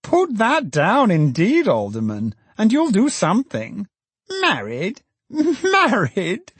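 A man voicing a fussy, scornful middle-aged male economist in a storybook reading, high and exclaiming. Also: huge pitch swings, takes it slowly, slightly rough, no room sound, full character voice. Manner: high-pitched, exclaiming, contemptuous.

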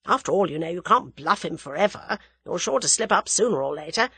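A woman voicing a sensible, wry young English man in a dramatised audiobook, with a measured, sceptical manner. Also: lowish voice, animated, sharp tone, husky, no echo, full character voice. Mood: serious, doubtful. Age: young adult.

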